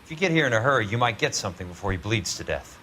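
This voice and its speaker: A man's voice: on the low side, big pitch swings, racing, pushed and bright, slight background noise. An American man playing a tough 1940s insurance investigator in a video game, blunt and sardonic in dramatic delivery. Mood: terse, businesslike.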